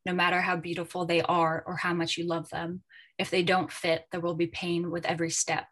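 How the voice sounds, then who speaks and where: Woman, lowish voice, thoughtful, informal panel talk, tentative phrasing, editor discussing her work. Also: monotone, brisk, fairly bright tone. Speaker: editor, panel guest at a literary festival.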